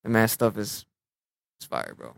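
A young man of Mexican heritage, a rapper guest in a relaxed interview, talking in easy slang and letting his phrases fade out quietly. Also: fairly low pitch, normal speed, creaky, no room sound. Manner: laid-back, slangy, trails off softly at phrase ends.

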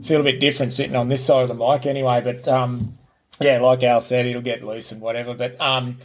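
A man's voice: medium pitch, level tone, brisk, a little hiss or hum. An easygoing Australian man in his forties telling his life story in a casual interview, rambling with many ums and restarts, recorded outdoors on a back veranda. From Newcastle, New South Wales, Australia. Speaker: podcast host being interviewed as guest.

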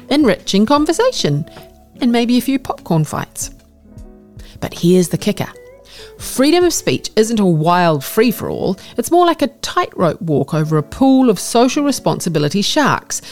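A woman narrates a written essay in a slightly low voice. Her intonation is lively and varied, with a measured, expressive read-aloud delivery. Faint music plays behind her. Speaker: narrator reading a magazine article aloud. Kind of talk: scripted narration of an opinion essay.

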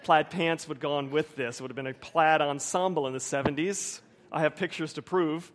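High-voiced American man preaching, measured and emphatic. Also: steady tone. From United States, suburban Wilmington. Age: 40s. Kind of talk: sermon with personal anecdotes.

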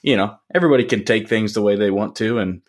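A man hosting a podcast interview, with a loud, deep, growly voice and a casual, rambling way of talking. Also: fast talker. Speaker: podcast host. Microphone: studio podcast mic.

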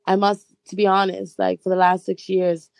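A young woman from Bermuda, a stage actress and guest on an interview podcast, talking in a relaxed, chatty way with a slightly hoarse voice. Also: mid-range voice, normal speed, no room sound. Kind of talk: casual interview chat.

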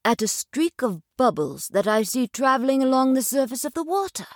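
A woman reading a storybook aloud, voicing a timid, gentle little male mole with a soft, wondering manner. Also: high-pitched, sing-song, brisk, dry recording, clean studio sound, voice pushed up. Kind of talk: storybook voice acting.

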